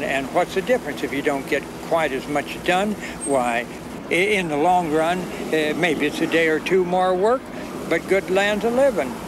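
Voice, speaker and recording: A man's voice: fairly high pitch, noisy background. An elderly man, reminiscing casually in a folksy, repetitive way. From Kansas. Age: 70s. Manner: folksy, repeats himself. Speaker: farmer. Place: outdoors, near road or machinery.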